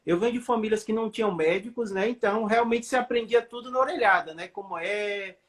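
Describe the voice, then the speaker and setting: A man's voice: high voice, a little fast. A man in his thirties from Salvador, a neurosurgeon guest answering interview questions in a friendly, rambling way. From Salvador, Bahia, Brazil. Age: 30s.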